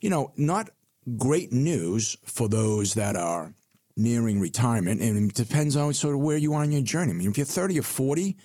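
A man's voice: medium pitch, animated, moderate pace, warm tone, no room sound, studio quality. A man co-hosting a retirement radio show as a financial advisor, talking in a conversational, reassuring way and leaning hard on key figures.

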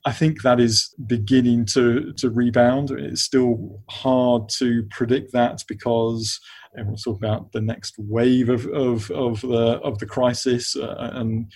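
The male expert guest on a finance podcast, cautious and halting, keeping an even tone as he thinks aloud. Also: medium pitch. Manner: halting, repeats words as they search for phrasing. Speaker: interview guest, investment funds expert at a law firm. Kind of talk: unscripted business podcast interview.

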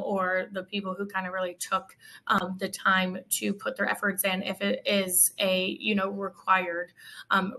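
A woman's voice: mid-range voice, sharp tone. A woman speaking conversationally as a recruiter guest, offering practical advice.

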